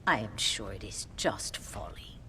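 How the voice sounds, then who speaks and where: An actress playing a devout, opinionated older nun with a Mexican accent in a video game, theatrical and sharp-tongued. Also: female voice, expressive, a little slow. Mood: confiding, pious. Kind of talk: video game cutscene acting. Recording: faint rumbling background noise.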